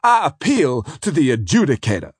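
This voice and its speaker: A man doing a blustering male defence lawyer in an audiobook. He protests in slow, outraged bursts. The voice swings widely in pitch and has a husky edge. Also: very animated, a little mellow, no echo. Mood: indignant.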